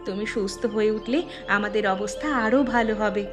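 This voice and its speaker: A woman's voice: mid-range voice, fairly even intonation, a little fast, music in the background, lightly acted. A woman playing a devoted village mother and wife in a moral-story cartoon, caring yet firm. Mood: soothing. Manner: reassuring, scolding when cross.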